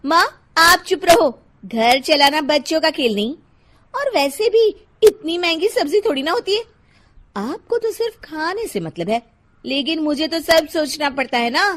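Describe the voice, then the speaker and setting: A snappish cartoon housewife, acted by a woman, high and animated in pitch. She scolds haughtily and bluntly, quick to quarrel over small things. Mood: defensive.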